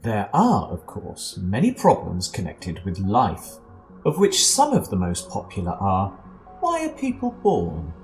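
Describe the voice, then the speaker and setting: A man playing a wry narrator of comic science fiction in an amateur audio drama, deadpan yet emphatic. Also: on the low side, measured pace, exaggerated voice, rough recording. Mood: wry, explanatory. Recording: clear music behind narration.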